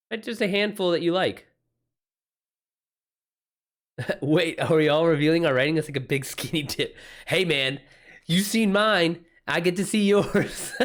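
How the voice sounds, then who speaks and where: A man hosting a livestream about writing, chatting casually and unscripted, thinking aloud with informal slang. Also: fairly high pitch. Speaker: livestream host and author giving writing advice.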